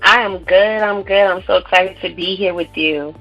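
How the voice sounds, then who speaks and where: A woman's voice: mid-range voice, normal speed, no room sound, highs cut off, soft background music. A young woman from Brooklyn, a guest artist on a radio interview, who talks in an upbeat, chatty way with lots of "um" and "like.". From Brooklyn, New York.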